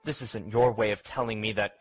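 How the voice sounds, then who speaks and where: A man's voice: fairly low pitch, fairly even intonation, fairly quick, slightly rough, dry recording, faint music behind, rough recording. A man playing a proud, insistent son and estate heir in a gothic audio drama, sounding self-satisfied. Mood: wounded insistence.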